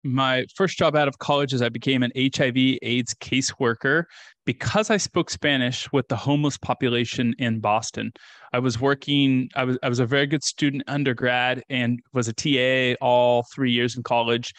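A man's voice: mid-range voice, fairly even intonation, normal speed, a bit bright, no room sound. Man, 30s, from rural Kansas, interview guest, reflective, dry close sound. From rural Kansas, USA. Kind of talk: casual interview storytelling. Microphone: video call with desk mic.

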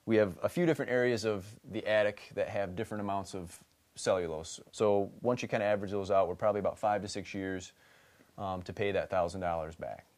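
A man's voice: on the low side, a little fast. 30s guy doing a house energy check, easygoing explainer, lots of fillers, in a basement crawl space. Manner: relaxed explanatory, many fillers. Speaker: energy auditor. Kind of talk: explaining on-site home inspection.

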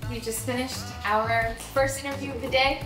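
A woman's voice: high voice, steady tone, normal speed. A young woman vlogger chatting casually as she hosts and directs a shoot. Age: young adult. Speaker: host and campaign director. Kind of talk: casual vlog chat. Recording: roomy echo, music underneath. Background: music.

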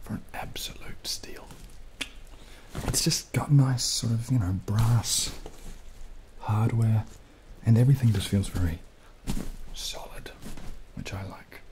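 A young man speaking softly and unhurriedly in casual ASMR style, partly whispering, with some hesitation. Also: fairly low pitch, low-quality recording. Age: young adult. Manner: soft-spoken, hesitant, unhurried. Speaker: ASMR video creator. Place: small dim indoor room. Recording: clearly audible background noise, added rain.

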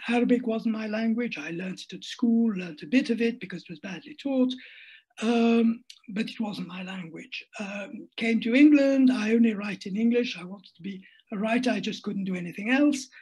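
A man's voice: sits high, a little mellow, slightly rough, rough recording. An older man speaking in an interview over a video call from home, thoughtful and hesitant, with frequent restarts. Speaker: interviewee, writer. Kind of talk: reflective conversational interview. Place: home room at a desk. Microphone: laptop webcam on a video call.

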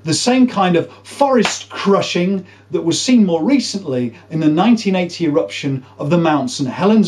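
Animated, higher-voiced man presenting science. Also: slight background noise. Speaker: presenter of a space science video series. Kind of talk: explanatory science presentation to camera. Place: small indoor room.

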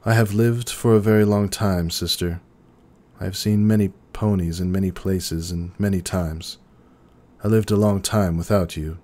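Man voicing a gentle, weary pony princess, raised pitch. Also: steady tone, moderate pace, lightly acted. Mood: wistful, troubled. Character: gentle, weary, ageless royal pony mare, elder sister.

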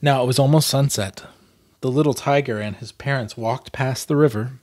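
Male storybook reader, 30s, mid pitch, expressive and chatty, close dry mic. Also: moderate pace, dry recording. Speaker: host reading a children's book aloud. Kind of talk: storybook reading with casual commentary. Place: small plain room indoors. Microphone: close desktop microphone.

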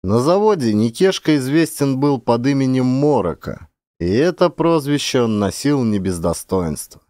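Guy reading an audiobook story, really animated and theatrical, dry studio-like sound. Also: medium pitch, normal speed, no room sound. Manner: expressive, theatrical delivery. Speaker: audiobook narrator and actor. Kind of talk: dramatized literary storytelling narration.

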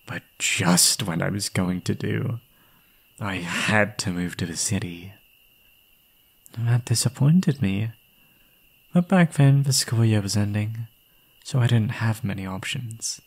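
A young man playing an obsessive, gleeful yandere kidnapper in an intimate ASMR roleplay, doting on the listener in a breathy voice. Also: on the low side, slow pace, pauses often, exaggerated voice. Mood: obsessive, confiding. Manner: doting pet names, unhinged chuckling, swinging into whispers.

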